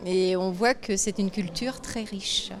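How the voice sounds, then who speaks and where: A woman visitor speaking slowly and drawn out in an interview. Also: medium pitch.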